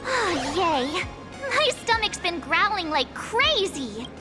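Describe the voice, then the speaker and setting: A woman's voice: very high voice, sing-song, slow pace, music in the background, heavily acted. A woman playing a perky, girlish young adventurer in a video game, chatting informally. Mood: excited.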